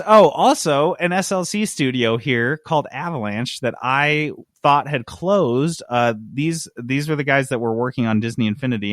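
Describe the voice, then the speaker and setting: A man's voice: medium pitch, fairly bright tone, dry recording, studio quality. An American man hosting a casual gaming news chat, sardonic and prone to sweary outbursts. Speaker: podcast host.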